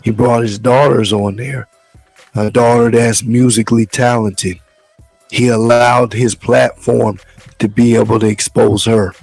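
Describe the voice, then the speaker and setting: A man hosting a casual talk show, hoarse from the flu, speaking in a measured, rambling way. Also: medium pitch, level tone, darkish tone, no room sound, faint music behind, poor audio. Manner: measured, loose, repeats words as he talks. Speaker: show host.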